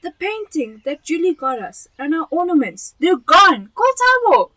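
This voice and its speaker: A woman's voice: sits high, very animated, moderate pace, sharp tone, dry recording, exaggerated voice. A woman acting a fussy, loving elderly mother and wife in an amateur radio drama. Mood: alarmed.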